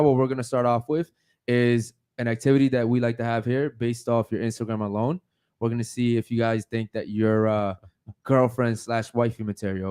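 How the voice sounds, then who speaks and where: A man's voice: medium pitch, dry recording, studio quality. Hyped young male podcast host. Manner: loose, hype shout-outs, fillers and casual swearing. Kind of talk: casual live podcast chat. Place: podcast studio with a panel of guests.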